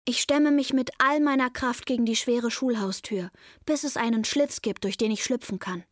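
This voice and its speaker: A woman playing an earnest six-year-old daughter who narrates life with her mother in simple, measured words for a radio drama. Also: fairly high pitch, no echo, studio quality, close to an everyday voice. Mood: earnest, wondering. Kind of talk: radio drama, first-person narration and dialogue.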